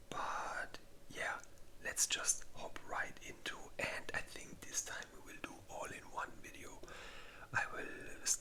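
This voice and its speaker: ASMR creator for a casual ranking video: all whisper, very bright and sibilant, informal, halting phrasing. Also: extremely high pitch, low-quality recording. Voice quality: breathy, unvoiced whisper. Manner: unhurried, halting, informal delivery.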